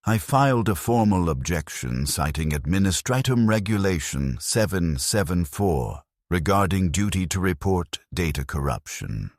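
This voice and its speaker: A man narrating a first-person story in a grave, measured, detached way. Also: deep voice, very animated, dry recording, studio quality.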